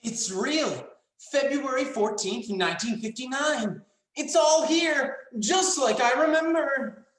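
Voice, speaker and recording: A woman playing a young, earnest boy time traveler with a halting manner in a dramatic screenplay reading. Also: high voice, expressive. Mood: awed. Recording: roomy, echoing sound.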